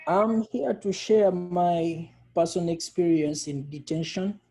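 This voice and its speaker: Ugandan guy, 30s, talks real slow on a video call, warm mellow voice. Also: high voice, fairly even intonation, soft background music, poor audio. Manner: slow, deliberate speech. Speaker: panelist.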